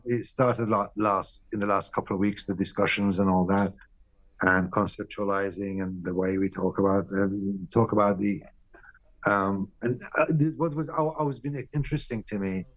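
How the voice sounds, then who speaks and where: A middle-aged man from Iran, a painter being interviewed, talking candidly and bluntly, often pausing and restarting his sentences. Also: on the low side, no echo, low-quality recording. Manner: candid, blunt, swears casually. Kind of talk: reflective interview answers.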